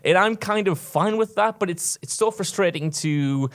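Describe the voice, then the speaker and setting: A man's voice: sits high, moderate pace, fairly bright tone. A man co-hosting a podcast, talking casually in a panel discussion. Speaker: podcast co-host.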